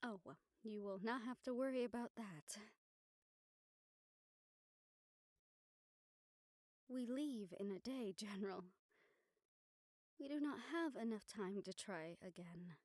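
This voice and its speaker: A woman performs a composed young noblewoman in an intimate audio drama. Her phrasing is formal and measured, delivered gently with deliberate pauses. The voice sits at a medium pitch, close on the mic in a very dry space. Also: dry recording, close to an everyday voice. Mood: tender, sincere. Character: composed young noblewoman soothing her worried dragon bodyguard. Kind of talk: intimate audio roleplay acting.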